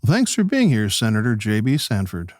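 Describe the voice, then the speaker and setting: An actor playing a polite, chatty old-time radio host, with an animated announcer's way of talking. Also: male voice, lowish voice, a little fast, no room sound, studio quality. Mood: chipper. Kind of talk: radio play interview patter.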